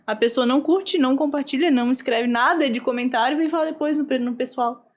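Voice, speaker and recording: Blunt, expressive Brazilian woman hosting a podcast. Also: high-pitched, fast talker. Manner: blunt and expressive, swears freely, acts out quotes. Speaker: podcast host. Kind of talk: casual podcast chat.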